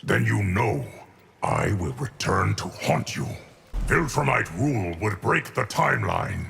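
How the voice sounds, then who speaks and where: An actor playing a haughty, menacing fighter in a video game, with a solemn, threatening delivery. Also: male voice, lowish voice, big pitch swings, unhurried, gravelly, fairly bright tone, a little hiss or hum, full character voice. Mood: grim warning. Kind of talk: video game character dialogue.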